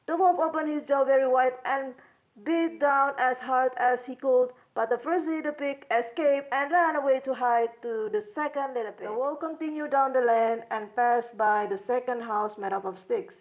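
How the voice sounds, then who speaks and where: A woman's voice: high voice, normal speed. A woman storyteller narrating a children's storybook tale, on a dull recording. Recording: dull lo-fi sound.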